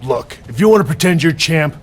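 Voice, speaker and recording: An actor playing an indignant, challenging wrestler with a husky voice, needling a rival in a game cutscene. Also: male voice, a little high, animated, fairly quick, very noisy, close to an everyday voice. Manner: needling, confrontational. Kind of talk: scripted video game cutscene acting.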